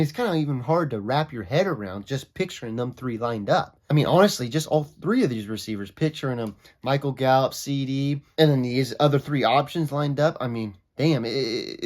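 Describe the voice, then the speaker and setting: A young man with a slightly raspy voice from a cold, giving opinionated, conversational football commentary as a regular video host. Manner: opinionated, conversational, animated pitch swings. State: sick, raspy voice. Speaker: sports vlogger. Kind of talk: casual sports commentary.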